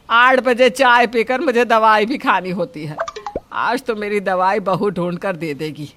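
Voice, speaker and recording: A bossy older woman as a performer acts her playing the household's mother-in-law in a story. The voice is sharp and mid-pitched, always commanding and finding fault. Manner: scolding, commanding.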